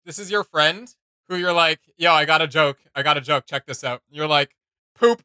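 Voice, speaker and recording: A woman co-hosting a reaction video in casual, jokey, animated chat. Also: low voice, moderate pace, smooth.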